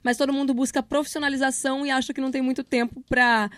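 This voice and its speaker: A woman's voice: high voice, little pitch movement, fast talker, fairly bright tone, no echo. A Brazilian woman giving casual interview answers, explaining things in an informal, slangy way as a project leader and teacher.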